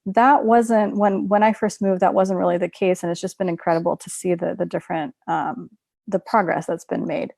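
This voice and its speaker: A woman's voice: lowish voice, steady tone, moderate pace, vocal fry, darkish tone, no room sound, studio quality. A woman in her thirties, raised in Russia, talking chattily with many fillers and restarts as a webinar guest over a video call. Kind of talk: webinar conversation.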